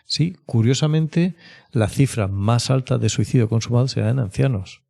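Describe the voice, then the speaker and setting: Guy being interviewed as an expert, gravelly and mellow, feels his way through explanations. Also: mid-range voice, lively intonation, moderate pace. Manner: hesitant, reflective, frequent question tags. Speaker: mental health expert guest.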